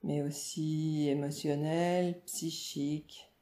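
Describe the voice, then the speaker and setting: A woman meditation teacher leading a guided relaxation in a calm, soothing, instructional way, with short phrases and pauses. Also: low-pitched. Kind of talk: guided yoga nidra relaxation narration. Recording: roomy, echoing voice recording.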